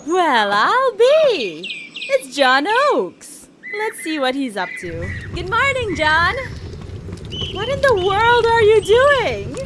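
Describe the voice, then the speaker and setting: A woman's voice: very high-pitched, wildly swooping pitch, takes it slowly, background noise, heavily acted. A woman voicing a kindly, plucky old farm grandmother, folksy and motherly, in a fan comic dub. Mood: kindly, brisk. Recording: loud music under the voice.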